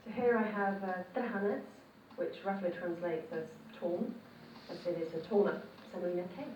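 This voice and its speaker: A woman's voice: mid-range voice, moderate pace, a little mellow, distant mic. A woman from the Czech Republic with a Czech accent, presenting a dish as a home cook in a short film. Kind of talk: cooking presentation to camera. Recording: dull, roomy film playback, faint noise.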